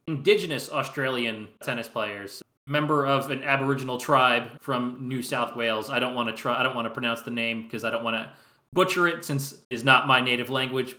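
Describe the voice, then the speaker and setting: Relaxed man in a roomy space. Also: mid-range voice, normal speed. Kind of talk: casual sports chat.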